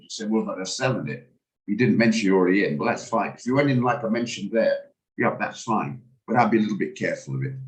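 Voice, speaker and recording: Hoarse low-voiced man, halting. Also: poor audio. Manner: hesitant, stammering restarts, cautious. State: hoarse, recovering from flu. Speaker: trading room host. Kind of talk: live market commentary on a video call.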